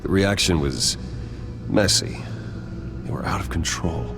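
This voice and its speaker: Brooding male vigilante, very deep voice, terse, serious, determined, video game drama, music behind. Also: expressive, measured pace, vocal fry, full character voice. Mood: grim, focused. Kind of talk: cinematic video game acting.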